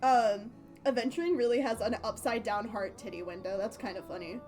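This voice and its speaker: High-pitched woman chatting on a gaming livestream. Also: fairly quick, darkish tone, faint music behind. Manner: rambling, playful, breaks off mid-thought. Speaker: livestream host. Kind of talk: casual gaming stream chat.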